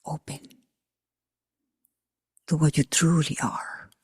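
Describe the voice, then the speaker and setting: Hushed, very slow breathy woman guiding meditation. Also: deep voice, level tone, no echo, studio quality. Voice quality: breathy, husky, near whisper. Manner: hushed, unhurried, long pauses between short phrases. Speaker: meditation teacher. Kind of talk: guided meditation for a group.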